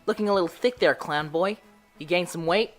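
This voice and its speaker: A woman's voice: on the low side, fairly quick, soft background music, close to an everyday voice. A woman playing a cocky, impatient boy sidekick in an audio drama, blunt and bratty. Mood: cocky, mocking.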